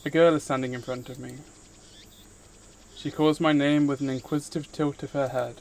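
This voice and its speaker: A man's voice: medium pitch, steady tone, moderate pace, vocal fry, darkish tone. A man live streaming, reading visual novel narration aloud and chatting casually with viewers in between. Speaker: streamer, VTuber host.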